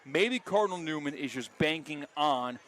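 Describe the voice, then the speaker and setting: A man's voice: fairly high pitch, animated, normal speed, a bit bright. A man calling a live football broadcast as play-by-play announcer, with emphatic, wordy sportscaster phrasing. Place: outdoor stadium broadcast position. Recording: live stadium broadcast with crowd noise. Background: stadium crowd.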